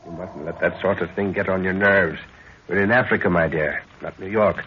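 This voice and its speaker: A man's voice: low voice, fairly even intonation, breathy edge. A man playing a grave, fatherly middle-aged explorer professor in a 1930s radio drama. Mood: calming. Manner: measured, paternal, grave. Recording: dull, noisy 1930s radio recording.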